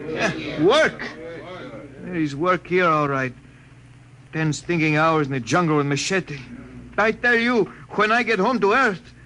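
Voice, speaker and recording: An actor playing a young, homesick Mexican labourer in halting, accented English, in 1950s radio drama style. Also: male voice, high voice, pushed and bright. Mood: bitter. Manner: halting, simple broken English. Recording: noisy vintage 1950 radio recording.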